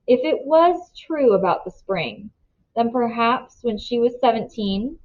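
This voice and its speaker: Woman narrating a storybook, slightly muffled. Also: a little high. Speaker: read-aloud narrator. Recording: slightly dull, lo-fi sound.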